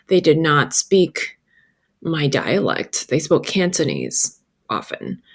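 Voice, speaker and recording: A woman from China and New York, a native Chinese speaker, speaking as an interview guest in a measured, articulate, reflective way. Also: low voice. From born in northern China, raised partly in New York. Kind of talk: reflective personal storytelling in an interview.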